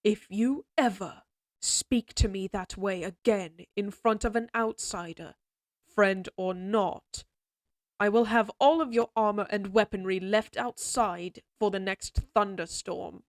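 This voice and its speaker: A woman's voice: mid-range voice, a little slow, no echo, close to an everyday voice. A woman acts out an imperious middle-aged lady of a fantasy castle. She speaks formally and with clipped command, in a very dry, close recording. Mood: angry, commanding. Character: stern, imperious noble mother of a lady knight. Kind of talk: scripted fantasy audio roleplay acting.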